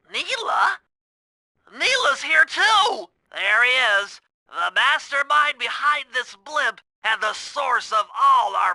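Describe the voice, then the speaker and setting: A man's voice: extremely high pitch, gravelly, sharp tone, dry recording, exaggerated voice. A male actor playing a nerdy, anxious young turtle tech genius in a video game, with a nasal voice and fussy, precise delivery. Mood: alarmed.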